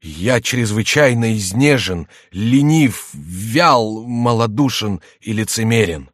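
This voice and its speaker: A man's voice: lowish voice, expressive, takes it slowly, no echo, studio quality. A man voicing a sly, mocking French court jester in a theatrical, exclamatory audiobook read. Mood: mock-plaintive. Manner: theatrical, exclamatory, mock-plaintive.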